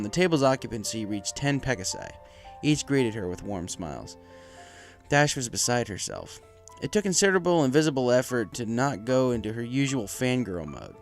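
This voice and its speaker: Man narrating a story over music. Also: mid-range voice. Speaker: fan fiction narrator. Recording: music behind the voice.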